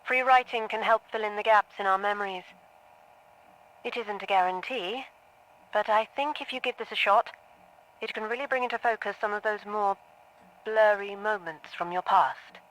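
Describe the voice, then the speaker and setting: An actress plays a therapist in a horror audio drama. She is an unruffled, detached woman with a medium-pitched voice. Her delivery is neutral, careful and deliberate, as if nothing could surprise her. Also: fairly bright tone, close to an everyday voice, low-quality recording. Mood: calm, instructing. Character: composed, detached female therapist, clinical and unruffled.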